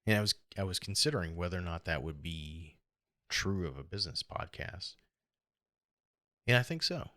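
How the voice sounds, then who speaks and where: A middle-aged American man with a hoarse, gravelly voice, talking alone in a reflective, halting and rambling way as a casual podcast host. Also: deep voice, animated, bright, forceful tone, no echo, clean studio sound. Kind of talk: casual solo podcast monologue.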